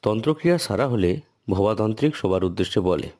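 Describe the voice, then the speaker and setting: A man's voice: fairly low pitch, animated, quick pace, warm tone. A man narrating a scripted cartoon story as its storyteller, with noise behind his voice.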